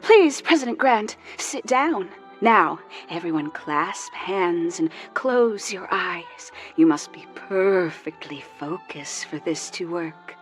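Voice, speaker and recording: An actress playing a solemn female spiritualist medium leading a séance in a radio drama, speaking in a slow, hushed, ceremonial way. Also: on the low side, expressive, soft background music. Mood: commanding.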